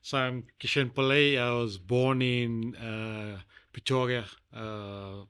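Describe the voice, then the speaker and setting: A middle-aged South African man being interviewed, talking in a rambling, halting way with many fillers. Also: medium pitch, fairly even intonation, a bit bright, clear, resonant tone. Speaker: guest. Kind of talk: casual interview chat.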